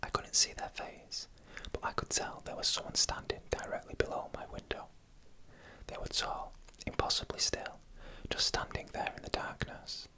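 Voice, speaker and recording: A narrator telling stories in a breathy, unvoiced ASMR whisper, in hushed and deliberate short phrases. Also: rough recording. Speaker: narrator and channel host.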